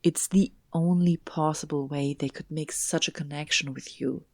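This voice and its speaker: A woman playing a guarded, soothing police detective in an audio drama, with a breathy, often whispery and measured delivery. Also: low voice, fairly even intonation, no echo. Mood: hesitant, probing. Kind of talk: hushed audio drama acting.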